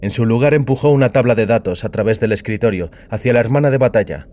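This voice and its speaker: A man narrating a scripted dramatic story in a solemn, deliberate manner. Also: medium pitch, warm tone. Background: music.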